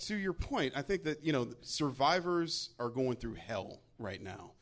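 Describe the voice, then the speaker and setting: A man's voice: medium pitch, expressive, measured pace. A man giving emphatic conversational commentary on a panel, with an incredulous edge. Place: live stage show.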